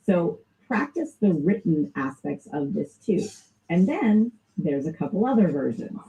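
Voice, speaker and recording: A woman's voice: on the low side, sing-song, a little slow. A woman with a Southern US accent giving a casual, informal sales training lesson and laughing often. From American South. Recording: dull lo-fi recording.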